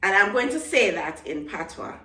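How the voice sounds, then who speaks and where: A woman's voice: mid-range voice, very animated, moderate pace, sharp tone, roomy sound, far from the mic. A young woman from Jamaica with a Jamaican accent, chatting casually to her vlog audience and repeating words for emphasis. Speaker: vlogger. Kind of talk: casual talk to camera.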